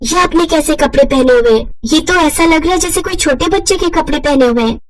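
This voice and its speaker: A woman's voice: high voice, fairly quick, soft background music, voice pushed up, lightly acted. A woman voicing a dutiful, naive young village daughter-in-law in a moral story, respectful and innocent. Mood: innocent, surprised.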